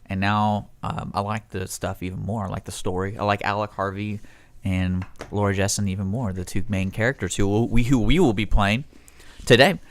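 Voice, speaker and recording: A man's voice: on the low side, no echo. A man hosting a casual live radio show, rambling and often interrupting himself as he tells stories. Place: radio studio.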